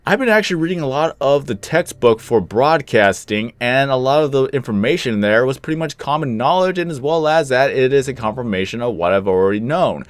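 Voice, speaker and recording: A young man with a deep, low-toned voice, slightly sick with some phlegm, giving rambling, easygoing commentary while he plays a game. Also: dry recording. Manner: rambling, easygoing, chuckles at himself. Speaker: gaming channel host. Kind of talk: casual gameplay commentary.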